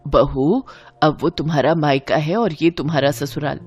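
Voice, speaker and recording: A patient middle-aged mother-in-law from an audio family tale, played by a woman, speaks in a lowered voice. Her manner is mild and coaxing, over faint music. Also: moderate pace, a little mellow, husky, muffled recording. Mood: gently correcting.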